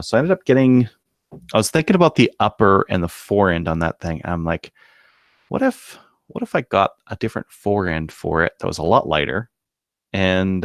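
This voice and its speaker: A man's voice: sits low, dry recording, clean studio sound. A man co-hosting a podcast, chatting casually and jokingly in a laid-back way. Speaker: podcast co-host. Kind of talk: casual banter about shooting and gear.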